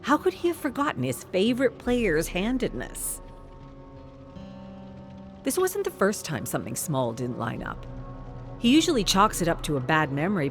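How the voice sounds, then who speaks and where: A woman reading scripted story narration in the manner of an audiobook. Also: mid-range voice.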